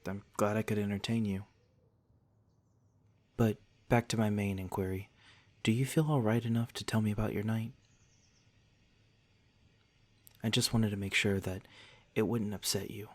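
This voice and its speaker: Guy acting as a gentle vampire lord, lowish voice, polite n old-fashioned, soft asmr roleplay, protective. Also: fairly even intonation, moderate pace, lightly acted. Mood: calm, reassuring. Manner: genteel, formal, old-fashioned wording; gentle, attentive, reassuring. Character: courteous, protective male vampire lord of an estate. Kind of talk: ASMR roleplay, speaking softly to the listener.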